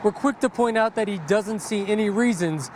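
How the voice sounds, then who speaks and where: A man's voice: sits high, normal speed, darkish tone, a little hiss or hum. A man with an American accent narrating a scripted news report as a TV reporter.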